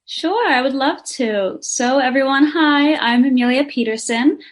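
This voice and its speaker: A woman's voice: high-pitched, measured pace. A young woman from Denmark, a singer and actress, answering interview questions eagerly and running her sentences together.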